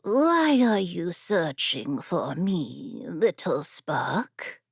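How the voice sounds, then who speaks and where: A woman voicing a tall, ancient female genie in a fantasy audiobook, sly and predatory, with a throaty, breathy purr and drawn-out, teasing delivery. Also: on the low side, very animated, no room sound, studio quality, pitched below the performer's own voice, exaggerated voice.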